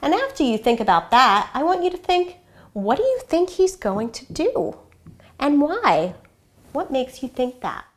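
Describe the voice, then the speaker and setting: A woman teacher giving an expressive, instructive storybook read-aloud for children, pausing to pose questions. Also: high-pitched.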